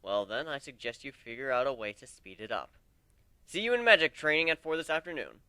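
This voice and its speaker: A man playing a commanding griffin combat instructor from a fantasy story. The voice is bright and forceful, swinging widely in pitch, with a sardonic edge and a dry, close sound. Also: very animated, a little fast, clear, resonant tone, dry recording. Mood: stern, lecturing. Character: brisk, stern male griffin warrior and combat instructor. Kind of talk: first-person dramatic story reading.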